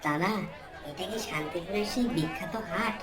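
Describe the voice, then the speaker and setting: A woman's voice: on the low side, moderate pace, crowd noise, natural voice. Woman acting an earnest young cartoon son. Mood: emotional. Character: earnest, independent-minded young son in a village.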